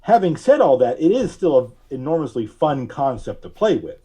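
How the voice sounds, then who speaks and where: A man talking casually as a podcast guest, halting and thinking aloud as he goes. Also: a little high, big pitch swings, dark tone, slightly rough. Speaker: audio drama writer. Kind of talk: casual interview talk.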